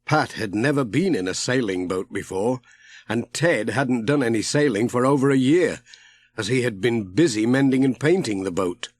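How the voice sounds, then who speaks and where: A man narrating a children's audiobook in a measured, expressive storytelling style. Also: mid-range voice, no echo.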